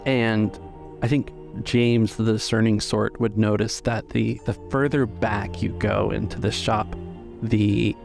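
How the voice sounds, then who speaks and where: A man with a lowish, gravelly voice narrates a tabletop game as its game master. He makes up descriptions deliberately, pausing as he goes, over clearly heard music. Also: little pitch movement.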